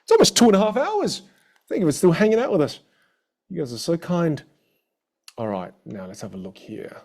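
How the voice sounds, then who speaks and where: A man with an Australian accent coaching a live online audience in an energetic, encouraging way. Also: fairly high pitch, fairly quick. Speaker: communication coach.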